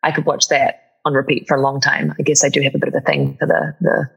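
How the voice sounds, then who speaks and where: A young woman from New Zealand with a New Zealand accent, chatting as a guest in a relaxed interview, with a lilting upspeak. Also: low-pitched, fast talker, vocal fry, husky. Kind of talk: casual interview chat.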